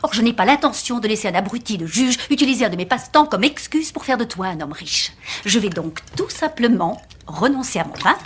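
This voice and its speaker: A woman playing a stern, controlled mother worn down by her rebellious son in a dubbed TV drama, with measured, formal, biting delivery that often turns hushed. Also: fairly high pitch, animated, breathy edge. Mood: defiant, composed. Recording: music under the voice. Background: music.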